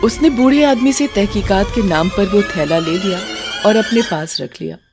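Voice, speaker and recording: A woman narrating a scripted horror story in a dramatic, suspenseful way, slowing for emphasis. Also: medium pitch. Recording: loud music under narration.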